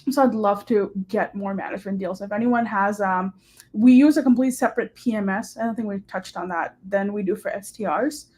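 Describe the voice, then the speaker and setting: A woman's voice: mid-range voice, a little fast, a little mellow. A young adult woman, a guest on a live-streamed interview, talking casually and upbeat with many "like" and "um" fillers. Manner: chatty, upbeat, lots of "like" and "um" fillers. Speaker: guest, short-term rental host and hotel manager.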